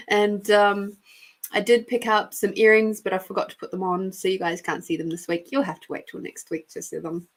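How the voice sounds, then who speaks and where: Host, woman, middle-aged, New Zealand accent, unhurried, laughs often and wanders off mid-sentence. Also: a little high, a bit bright. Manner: chatty, giggly, rambling with many fillers. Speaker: podcast host and intuitive reader. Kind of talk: casual live-stream chat.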